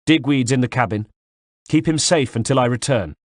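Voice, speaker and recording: A man voicing a determined young male swordsman who shouts his orders and challenges forcefully. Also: mid-range voice, normal speed, no echo, clean studio sound, close to an everyday voice. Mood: shouting.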